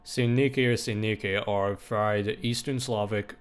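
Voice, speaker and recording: A man's voice: on the low side, steady tone, measured pace, smooth. A man doing a casual let's play, reading game text aloud and dropping in off-the-cuff asides. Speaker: video game streamer. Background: music.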